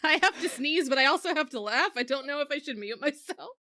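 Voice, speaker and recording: Female podcast co-host, slightly high voice, irreverent and playful, casual conversational delivery. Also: expressive, fast talker, sharp tone. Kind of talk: casual chat.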